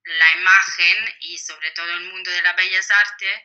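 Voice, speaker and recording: A woman's voice: lowish voice, fairly even intonation, unhurried, sharp tone, muffled recording. A woman speaking with an Italian accent, a native Italian speaker answering interview questions as an author, thoughtful and full of hesitations. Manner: thoughtful, many hesitations and fillers.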